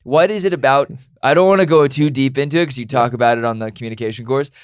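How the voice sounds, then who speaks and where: An upbeat young man co-hosts in a loose, joking tone with a middle-of-the-road pitch. He pitches things to listeners casually and keeps his delivery light. The recording is dry and close to the mic. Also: quick pace, clean tone, no room sound. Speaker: podcast co-host. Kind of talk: casual podcast conversation.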